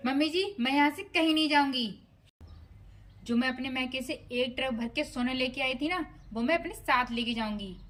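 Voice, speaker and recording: A woman's voice: sits high, normal speed, a bit bright. A woman playing a vain, defiant younger daughter-in-law in a family moral-story skit, argumentative and petulant. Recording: roomy echo with music behind.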